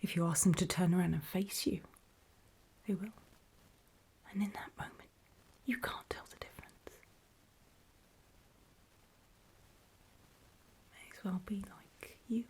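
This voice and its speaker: A woman playing a grave, weary apocalypse survivor in a horror ASMR roleplay, speaking in a soft, breathy, hesitant whisper. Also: on the low side, moderate pace, close to an everyday voice. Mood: grave, wary. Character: grave, weary female apocalypse survivor briefing others on zombies.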